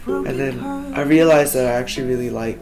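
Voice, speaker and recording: Casual young man, soft music behind. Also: mid-range voice, brisk. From Hong Kong. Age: young adult. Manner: casual, relaxed, heavy use of "like" fillers. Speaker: singer-songwriter. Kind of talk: documentary interview.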